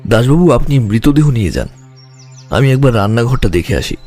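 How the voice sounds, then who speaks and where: Man acting sharp calm detective in audio drama, measured but very expressive intonation, music in back. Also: medium pitch, natural voice. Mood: thoughtful. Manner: measured, deliberate, questioning. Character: calm, probing male detective, sharply observant.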